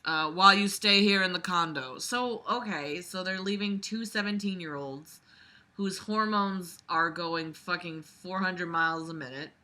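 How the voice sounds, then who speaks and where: A woman reads aloud and picks the text apart as she goes. Her voice sits slightly low for a woman but cuts through with a very bright, tense edge. She is casual, sarcastic and exasperated, and swears freely. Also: a little slow. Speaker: book reviewer reading aloud and critiquing. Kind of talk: casual sarcastic commentary while reading a novel aloud.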